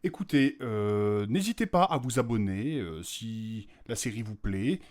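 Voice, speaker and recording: Man as fussy, hesitant gentleman with swooping intonation. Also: on the low side, animated, measured pace. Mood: reluctant, flustered. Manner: hesitant, polite, whiny. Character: reluctant, fussy, easily offended well-off gentleman, Nathanaël. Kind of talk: comic fantasy audio drama.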